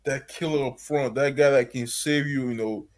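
Male panelist, middle pitch, blunt casual delivery. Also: fairly even intonation, moderate pace. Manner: blunt, casual slang, laughs mid-sentence. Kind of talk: casual football chat.

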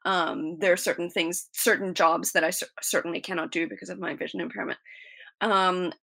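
A woman's voice: lowish voice, fairly even intonation, a little fast, gravelly, pushed and bright. A woman teacher from South Africa, a guest answering interview questions earnestly with a lot of "you know". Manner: earnest, explanatory, many "you know" fillers.

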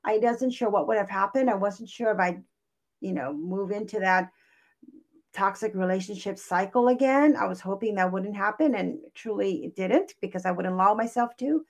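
A woman from Hawaii, a guest life coach in an interview, speaking earnestly and reflectively. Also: mid-range voice. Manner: earnest, reflective, many fillers and restarts. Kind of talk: interview conversation.

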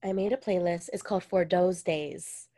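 A woman's voice: on the low side, steady tone, normal speed, warm tone. A young woman from Hawaii co-hosting a podcast in casual chat, chatty and full of "like" and laughs. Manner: chatty, says "like" a lot, laughs often. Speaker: podcast co-host.

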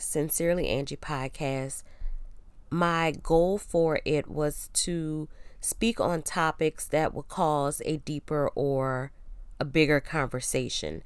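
A woman's voice: low-pitched, clear, resonant tone, no room sound. A young woman hosting a casual solo podcast who is getting over a cold, sounding congested and a little nasal, chatty and self-assured as she reads from her old blog post. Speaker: podcast host.

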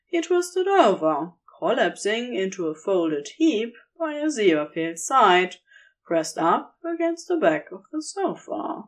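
Slow, expressive woman narrator. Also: medium pitch. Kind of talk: scripted fiction narration.

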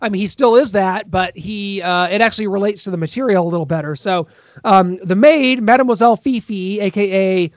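Male co-host, high voice, dry close mic, enthusiastic recap. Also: a little fast, no echo. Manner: enthusiastic, recounts plot in detail. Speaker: podcast co-host. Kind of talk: casual recap chat.

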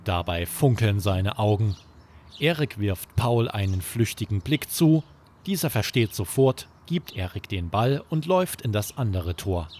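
A man narrating a scripted children's bedtime story as podcast host, with expressive, dramatic storytelling. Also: lowish voice, a little slow.

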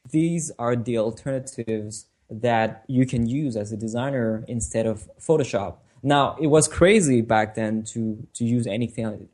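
A man whose first language is French, giving casual interview answers as a designer and guest, often pausing and repeating words as he thinks. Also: mid-range voice, a little mellow.

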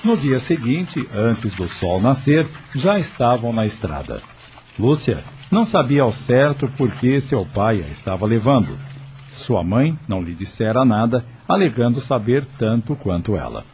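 A man's voice: mid-range voice, big pitch swings, unhurried, darkish tone, slight background noise. A man narrating a scripted radio drama in a dramatic, literary storytelling manner. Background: background music.

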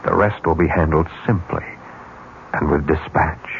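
Deep-voiced, smooth murder broker on radio. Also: male voice, measured pace. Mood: quietly persuasive. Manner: businesslike, persuasive, coolly insinuating. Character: smooth, calculating male broker of arranged murders. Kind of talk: 1970s radio drama acting. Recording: muffled, noisy 1970s radio broadcast.

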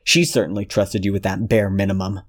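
A man voicing a cold, controlled detective who speaks in a measured, menacing way. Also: low-pitched, level tone.

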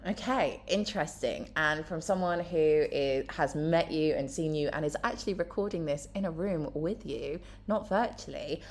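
A woman's voice: low-pitched, normal speed, few pauses. A woman interviewing a guest in person, upbeat and encouraging with her questions. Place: indoor room, in person.

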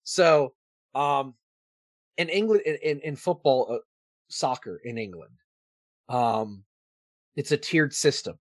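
A man's voice: mid-range voice, slow pace, pauses often, no room sound. An American man co-hosting a casual sports podcast, opinionated and fond of putting rhetorical questions.

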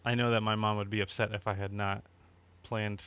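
Low-voiced man, rambling and relaxed. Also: a little fast, clean tone. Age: 30s. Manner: relaxed, rambling with many fillers. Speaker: podcast co-host. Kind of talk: casual chat.

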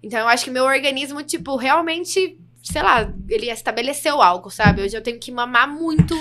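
A woman's voice: sits high, a little fast. A young woman from the São Paulo interior, a podcast guest telling candid stories in casual chat, laughing easily. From interior of São Paulo state. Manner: candid, laughing, lively storytelling. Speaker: podcast guest, reality show participant.

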